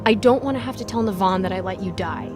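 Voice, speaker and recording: A woman's voice: mid-range voice, quick pace, over music, lightly acted. A woman playing a tough, blunt, commanding goddess in an audio drama. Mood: gruff, worried. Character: brusque, tough young goddess of the underworld.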